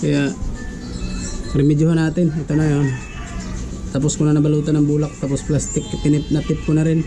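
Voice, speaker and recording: A man gives a casual hands-on tutorial in a slightly high, gravelly voice. He speaks in a fairly even tone, with music playing behind him. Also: a little fast. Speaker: vlogger giving a DIY tutorial.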